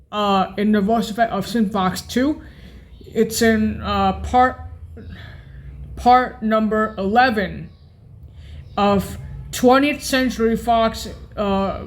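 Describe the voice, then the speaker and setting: A woman demonstrating keyboard sound presets in an informal tutorial, speaking haltingly, listing items and often correcting herself. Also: mid-range voice, a bit bright.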